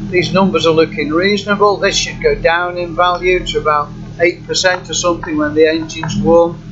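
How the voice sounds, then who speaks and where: Guy demoing software off the cuff, kinda halting and matter of fact, dull muffled audio w/ loud engine noise. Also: on the high side, steady tone. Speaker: software demonstrator. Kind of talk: informal screen-recorded software walkthrough. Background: running car engine hum.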